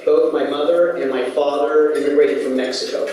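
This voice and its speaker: A middle-aged man whose first language is Spanish, giving a sincere, prepared speech as a guest speaker, with frequent "uh" pauses. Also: fairly high pitch, steady tone, heavy background noise. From United States, California. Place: large banquet hall at a lunch event. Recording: echoey hall, distant, clattering dishes.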